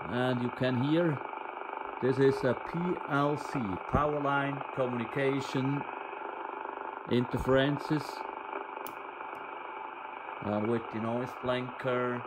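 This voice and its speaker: A man giving an informal hands-on demonstration of radio equipment, in halting, fragmented phrases. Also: medium pitch. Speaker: presenter of a radio equipment channel. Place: indoors at a table. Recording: noisy, with hiss and radio static.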